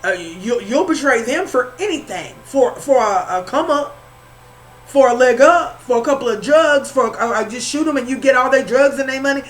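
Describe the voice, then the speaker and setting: High-voiced Southern woman reading tarot. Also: expressive, normal speed. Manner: informal, repeats words, calls listener honey. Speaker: spiritual reader.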